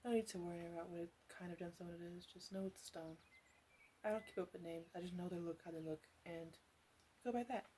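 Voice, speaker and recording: Woman playing a mellow, teasing ASMR witch. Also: on the low side, brisk, slight background noise, natural voice. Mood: casual, teasing. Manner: soft, coaxing, playful teasing with murmured asides. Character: teasing, flirty witch in her three hundreds. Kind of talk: ASMR roleplay, speaking to the listener.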